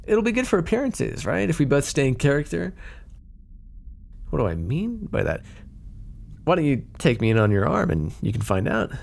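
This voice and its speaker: A man's voice: on the high side, huge pitch swings, moderate pace, creaky, long pauses, darkish tone, a little hiss or hum, full character voice. A man playing a cocky, teasing mafia boss with a theatrical, flirty drawl in an ASMR roleplay. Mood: flirtatious, teasing.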